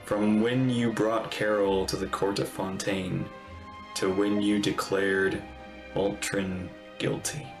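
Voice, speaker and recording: Woman streamer, very deep voice, casual live game reactions, conversational, soft music behind. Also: flat delivery, slow, rough recording.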